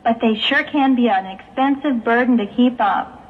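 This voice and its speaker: A woman's voice: on the high side, moderate pace, lightly acted. Woman acting earnest young fiancée, tinny old tape. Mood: thoughtful. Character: earnest, agreeable young engaged woman with sober concern. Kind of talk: 1960s religious audio drama acting. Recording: muffled, hissy 1960s tape, phone-like.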